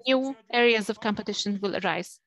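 Woman interpreting a speech, halting. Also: mid-range voice, poor audio. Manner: hesitant, frequent fillers.

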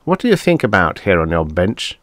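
A man's voice: deep voice, big pitch swings, fairly quick, fairly bright tone, no echo. A man voicing a stout, jovial older male professor who talks in a hearty, overbearing way, chuckling and pushing his point.

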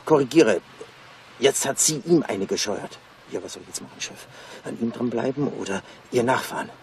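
Man as dutiful stakeout cop, dry report, medium pitch, noisy street, radio drama. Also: lively intonation, normal speed, darkish tone, close to an everyday voice. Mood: reporting, unsure. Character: dutiful, slightly helpless male surveillance policeman. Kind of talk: German radio drama acting. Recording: noisy street with vehicle sounds.

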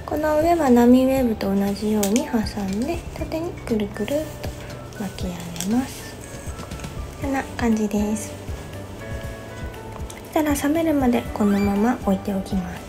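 A young woman in her twenties, a hairstylist, calmly explaining each step of a hair styling tutorial. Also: fairly high pitch, moderate pace, music in the background. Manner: gentle step-by-step instruction. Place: small bright studio indoors.

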